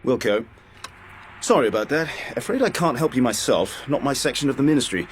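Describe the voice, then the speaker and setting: An actor playing a polite, evasive British military officer in a radio drama, hesitant and officious. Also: male voice, medium pitch, animated, fairly quick, a little hiss or hum, lightly acted. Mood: brisk, helpful.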